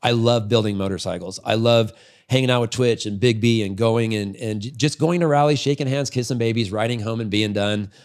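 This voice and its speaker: A man's voice: fairly low pitch, a little fast. A man in his forties talking casually as an interview guest, candid and rambling, swearing freely. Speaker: podcast guest, retired freestyle motocross rider and business owner. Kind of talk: casual long-form storytelling in an interview.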